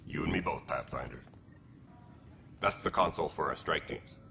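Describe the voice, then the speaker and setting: Casting: a pragmatic alien militia leader in a game, deep and gravelly, clipped matter-of-fact soldier. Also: male voice, normal speed, heavily acted. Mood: businesslike. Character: gruff alien male militia commander, dutiful and pragmatic. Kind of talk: video game cutscene acting. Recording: loud background noise.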